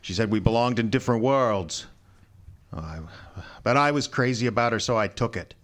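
An actor playing a tough, defensive, jealous athlete, speaking bluntly in an old-time radio crime drama. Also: male voice, medium pitch, expressive, normal speed, a bit bright, natural voice. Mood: bitter, confessing. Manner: blunt, colloquial. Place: live stage before an audience.

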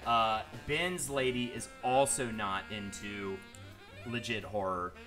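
A man in his thirties hosts a casual live chat, his naturally loud, projecting voice close on a boom mic. He rambles along animatedly. Music plays clearly behind him. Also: takes it slowly. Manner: rambling, animated, reads viewer comments aloud. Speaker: podcast host. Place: small dim room indoors. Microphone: studio mic on boom arm.

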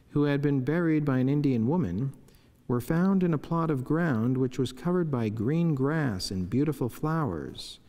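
A middle-aged friar reading a devotional reflection in a solemn, measured, formal way from a chapel lectern. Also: male voice, mid-range voice, mellow. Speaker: friar preaching. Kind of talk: read devotional reflection and prayer. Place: wood-panelled chapel indoors, at a lectern. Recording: long echoing chapel reverb.

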